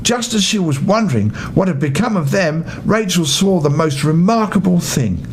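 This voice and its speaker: An older man narrating a children's bedtime story as an expressive storybook reader. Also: sits high, normal speed, slight background noise.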